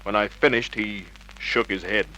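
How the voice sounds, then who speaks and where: A man's voice: on the low side, fairly even intonation, normal speed, bright, forceful tone. A male actor playing a wry private investigator who narrates his case in clipped, matter-of-fact Australian tones, in an old radio detective drama. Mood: terse, grim. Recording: noisy vintage radio drama recording.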